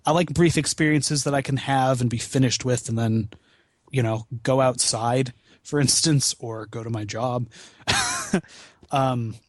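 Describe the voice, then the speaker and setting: A man talks casually as a guest on a gaming podcast, recorded close and dry. His voice sits at a middle pitch, and he swears freely in a wry, self-deprecating way. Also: no room sound. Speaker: podcast guest, video game marathon founder. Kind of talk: casual podcast chat about video games.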